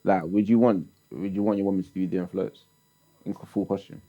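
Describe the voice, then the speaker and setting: A man's voice: sits low, fairly even intonation, fairly quick, lo-fi sound. A man co-hosting a podcast, pressing the others with questions in casual slang. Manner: pushy questioning, London slang. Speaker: podcast co-host. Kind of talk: casual chat.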